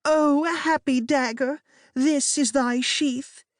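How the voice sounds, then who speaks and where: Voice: high-pitched, fairly even intonation, slow pace, no room sound, studio quality, pitched above the performer's own voice. A narrator voicing a bookish, easily frustrated preteen girl with a theatrical, exasperated manner in an audiobook. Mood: melodramatic. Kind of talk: acted character dialogue in a children's audiobook.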